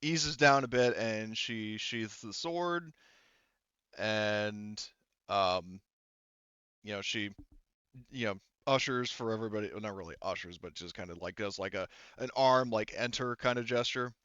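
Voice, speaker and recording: Smooth, low-voiced man running a game. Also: bright, forceful tone, no echo, dull audio. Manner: halting, conversational. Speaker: game master. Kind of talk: casual tabletop game narration.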